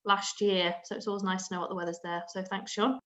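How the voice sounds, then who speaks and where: A woman's voice: mid-range voice, level tone, fast talker, a bit bright, lo-fi sound. A woman from North West England introducing herself as co-presenter of an online workshop, chatty with frequent fillers. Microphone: video call.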